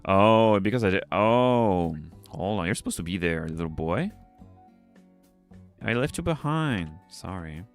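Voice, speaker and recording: A man with a deep voice, English not his first language, chatting casually on a livestream as he draws, rambling, muttering to himself and swearing now and then. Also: expressive, unhurried, faint music behind. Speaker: streamer. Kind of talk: casual livestream chat while drawing.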